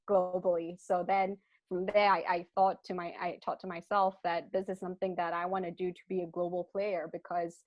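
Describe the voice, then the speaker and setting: A woman's voice: fairly low pitch, moderate pace, no room sound. Woman with mixed British-Malaysian accent. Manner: animated, frequent restarts, laughs between thoughts. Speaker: guest. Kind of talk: casual interview talk. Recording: dull lo-fi dry sound.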